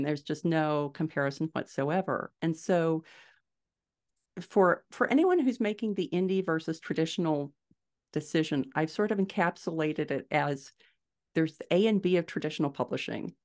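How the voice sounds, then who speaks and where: Low-pitched middle-aged woman, explaining. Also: moderate pace, a little mellow. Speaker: podcast guest, indie fiction author. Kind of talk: conversational interview answers. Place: small furnished room at home, indoors. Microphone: video call.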